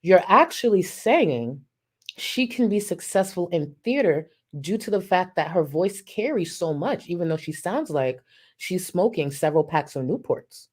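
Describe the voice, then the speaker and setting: A woman's voice: fairly low pitch, animated, a little slow. A woman streaming live, reacting to a video and reading out super chats with sassy, profane, mocking commentary and frequent laughter. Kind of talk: casual livestream commentary.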